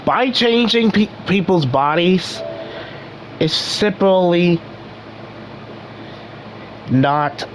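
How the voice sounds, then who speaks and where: A young man hosting a casual gaming vlog, rambling with many restarts while his voice recovers from being hoarse. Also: a little high, noisy background. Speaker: gaming channel host.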